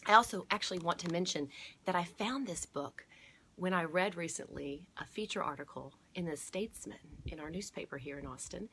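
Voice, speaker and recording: A woman hosting a children's storytime, reading aloud and pausing to explain and point things out to her listeners. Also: sits low, fairly bright tone, slight background noise. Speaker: storytime host at a museum garden. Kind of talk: read-aloud storytime for children with chatty asides.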